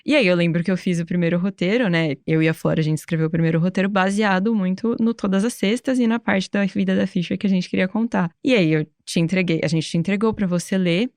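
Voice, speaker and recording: A woman scriptwriter chats on a podcast in a slightly low voice. She tells anecdotes casually, as in easy conversation. The recording is dry and free of echo. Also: fairly even intonation, fast, hardly any pauses, a little mellow.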